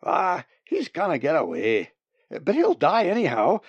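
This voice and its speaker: Man putting on an excitable, high-voiced crude hunter. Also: measured pace, vocal fry, breathy edge, no room sound, highs cut off, exaggerated voice. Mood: spiteful. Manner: shouting, jeering, cackling. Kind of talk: storybook character voice.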